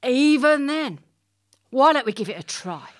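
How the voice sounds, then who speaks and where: Woman as bossy coaxing critic, comic audio drama, slow emphatic delivery, lively swooping pitch. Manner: cajoling, instructing, emphatic. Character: bossy, coaxing streetwise critic of a night watchman.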